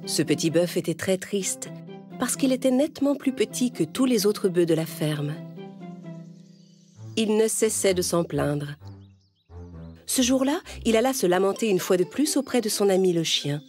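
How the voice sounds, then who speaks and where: A woman narrates a children's fable in a scripted storybook style. Her voice sits at a middle pitch, with music clearly playing behind it.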